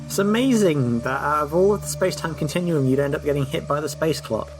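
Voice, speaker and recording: A man's voice: a little high, quick pace, over music. A man taking part in a tabletop role-playing game as a player, chatting and reacting casually.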